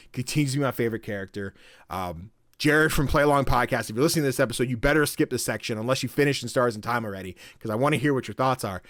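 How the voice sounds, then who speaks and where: A young man chatting on a gaming podcast, voice at an ordinary middle pitch. He gets worked up, curses freely, and jumps into higher voices to act out lines from games. The sound is dry and close, with no room around it. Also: dry recording. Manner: excited, swears a lot, acts out game dialogue in raised voices. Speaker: podcast co-host. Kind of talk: casual podcast chat.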